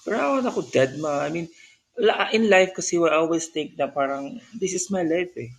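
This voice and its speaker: A man in his thirties with a Filipino accent, a guest telling his story in a casual interview, chatty and animated with lots of fillers. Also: on the high side, brisk, gravelly, slightly rough. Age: 30s. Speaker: guest, business owner. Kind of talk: casual interview talk mixing English and Tagalog.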